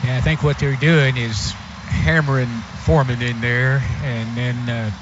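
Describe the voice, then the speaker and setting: A man's voice: mid-range voice, level tone, measured pace. A man giving casual, folksy color commentary on a live football radio broadcast. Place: outdoor stadium radio booth. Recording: muffled radio feed, loud stadium noise.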